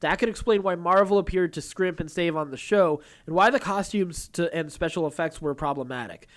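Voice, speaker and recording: A man's voice: a little high, a little fast, a bit bright. A man hosting a podcast, moving between reading news and casually explaining his take in a talkative way. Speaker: podcast host.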